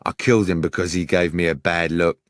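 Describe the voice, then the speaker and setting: A man voicing a gruff, boastful murderer in an audiobook story, speaking with menace. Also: sits low, flat delivery, brisk, no room sound, studio quality, close to an everyday voice. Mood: menacing, boastful. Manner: threatening, boastful. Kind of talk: audiobook character acting.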